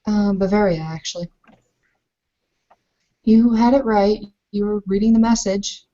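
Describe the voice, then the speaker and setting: A woman's voice: medium pitch, steady tone, a little slow, warm tone, lo-fi sound, close to an everyday voice. A woman playing a composed, frank Bavarian bride in a tabletop roleplay, hesitating as she searches for words. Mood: dry, frank.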